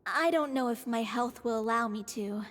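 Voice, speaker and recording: A woman voicing a sickly, unlucky young actress in a video game, soft and resigned. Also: on the high side, normal speed, close to an everyday voice. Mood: wistful. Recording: reverberant game audio with music.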